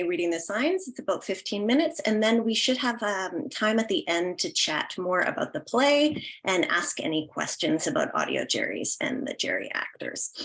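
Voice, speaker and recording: Canadian woman, friendly presenter on a video call, mid-pitched, informal and welcoming. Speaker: associate theatre director presenting a session. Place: indoors, online video call.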